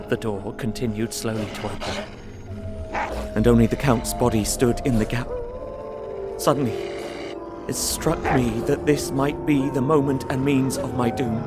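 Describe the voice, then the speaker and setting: A man's voice: mid-range voice, natural voice. An actor playing a fearful, earnest young Englishman, narrating his journal in a dramatic audio drama.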